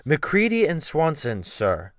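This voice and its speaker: A man's voice: on the high side, wildly swooping pitch, unhurried, no echo, pitched above the performer's own voice. A man acts out a tough teenage deckhand for an audiobook. He answers sullenly and grudgingly, with defiance underneath. The recording is dry, without room sound. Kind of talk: dramatic audiobook character reading.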